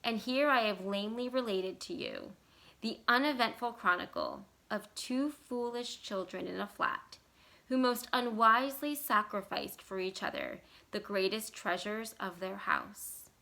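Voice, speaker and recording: A woman reading a short story aloud as scripted narration, with expressive, deliberate and dramatic phrasing. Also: mid-range voice, gravelly. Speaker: library staff storyteller.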